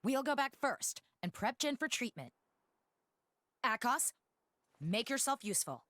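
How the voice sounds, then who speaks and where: Protective young fighter, curt orders, animated pitch, video game drama, dry sound. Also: female voice, unhurried, no echo, heavily acted. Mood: brusque. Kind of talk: dubbed video game cutscene acting.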